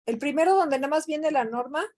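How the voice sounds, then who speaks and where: A woman's voice: high voice, brisk, darkish tone. A Mexican woman teaching a workshop over a video call, talking conversationally with many fillers and self-corrections. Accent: Mexican Spanish. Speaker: workshop instructor. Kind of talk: online training session.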